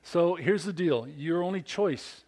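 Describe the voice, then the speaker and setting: A man in his fifties preaching a sermon to fathers, with a big, animated delivery that calls for amens from the congregation. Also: a little high, a little slow. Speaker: pastor.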